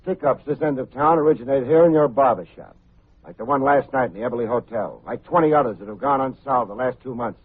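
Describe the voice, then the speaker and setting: An actor playing a wry, self-assured hard-boiled private detective in a vintage radio drama, full of sardonic slang. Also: male voice, mid-range voice, fast talker, breathy edge, close to an everyday voice. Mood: tough, accusing. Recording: muffled, tinny vintage radio recording.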